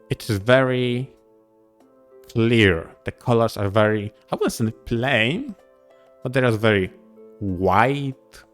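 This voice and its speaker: A man co-hosting a podcast, speaking in halting, deliberate phrases as he probes a point. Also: mid-range voice. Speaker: podcast co-host. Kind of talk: casual podcast discussion.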